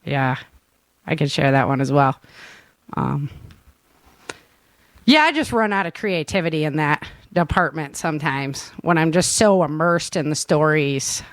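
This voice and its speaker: A woman's voice: low voice, animated, a little slow, pauses often, no room sound. A woman hosting a casual chat as an author, speaking in an enthusiastic, informal way with ready laughter. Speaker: author and show host.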